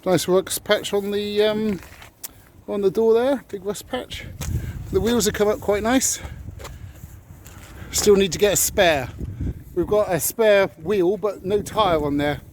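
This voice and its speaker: A man's voice: high-pitched, unhurried. A man chatting casually as he vlogs about his car, with some background noise from cars and surroundings. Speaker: car vlogger. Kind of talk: casual vlog chat.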